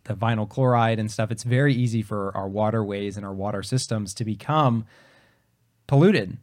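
A man's voice: fairly low pitch, moderate pace, a little mellow. A man co-hosting a podcast in casual chat, explaining things step by step, his voice just recovering after he lost it. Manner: explanatory, many fillers and restarts. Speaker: podcast co-host.

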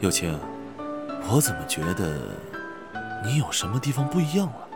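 Teasing young rich guy, acted by a man, lively. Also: mid-range voice, moderate pace, loud music behind the voice, lightly acted. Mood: casual, probing. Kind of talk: Chinese audio drama acting.

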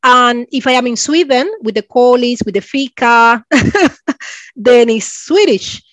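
A woman in her forties from Cuba, speaking English with a Cuban Spanish accent as an interview guest, often pausing for words and laughing. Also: on the high side, fairly bright tone, dry recording. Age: 40s. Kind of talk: interview conversation.

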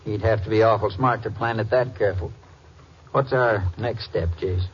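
An actor playing a blunt American small-town sheriff, gruff, folksy and challenging, in 1950s radio drama style. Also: male voice, fairly low pitch, moderate pace, slight background noise, natural voice. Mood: skeptical. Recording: muffled vintage radio recording.

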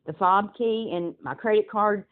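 A woman phoning to make an inquiry, speaking haltingly and repeating herself. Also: mid-range voice. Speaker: caller requesting a police report. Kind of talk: phone inquiry, recorded by the caller. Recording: muffled, narrow telephone call.

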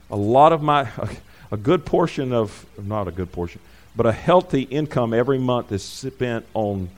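A male preacher speaking off the cuff to his congregation, in a voice of ordinary middle pitch. He rambles and corrects himself mid-phrase, then rises loud and high when he drives a point home. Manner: rambling, self-correcting, calls for amens. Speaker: pastor.

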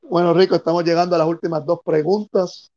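A man's voice: on the high side, little pitch movement, quick pace, darkish tone, dull audio. A man hosting a podcast interview, asking questions and giving brief encouraging interjections.

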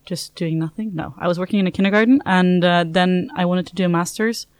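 A woman's voice: lowish voice, a little fast, dry recording. Young Norwegian woman, casual and dry. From Norway. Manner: casual, relaxed, laughs easily. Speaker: master's student. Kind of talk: interview answers.

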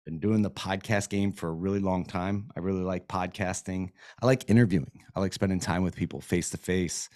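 Deep-voiced man, a bit hoarse. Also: fairly even intonation, fairly quick, few pauses, darkish tone, dry recording, clean studio sound. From Florida. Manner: easygoing, rambling, says "man" often. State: losing his voice. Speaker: podcast guest. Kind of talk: casual interview chat. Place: podcast studio.

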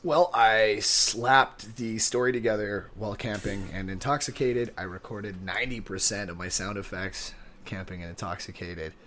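A man's voice: lowish voice, fairly even intonation, moderate pace, fairly bright tone, slight background noise. A man hosting a podcast, chatting in a laid-back, profane, joking way. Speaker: podcast host. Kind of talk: casual, joking chat.

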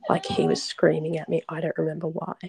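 A woman whispering a hard personal story, halting and restarting often, shaken and trembling as she talks. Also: sits low, wildly swooping pitch, gravelly, darkish tone, slight background noise. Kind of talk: whispered confessional conversation.

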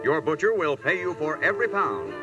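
A man's voice: fairly high pitch, sing-song, a little fast, dull audio, music in the background. A man announcing in 1940s radio style, with a polished, salesmanlike delivery.

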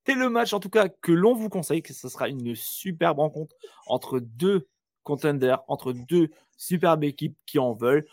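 Male co-host, slightly high voice, dry close mic, animated and teasing. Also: no room sound. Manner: animated, teasing, asks rapid questions. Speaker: podcast co-host. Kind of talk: casual sports chat.

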